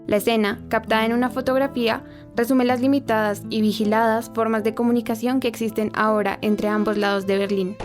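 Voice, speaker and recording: A woman playing a solemn radio news presenter, narrating a scripted report in a formal, even tone. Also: a little high, a little fast, hardly any pauses, faint music behind, lightly acted.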